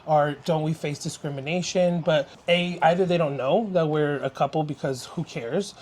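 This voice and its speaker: A man's voice: a little high, normal speed, darkish tone. A young man from Canada, an interview guest talking casually and openly, laughing easily. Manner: open and chatty, laughs easily. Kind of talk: casual chat.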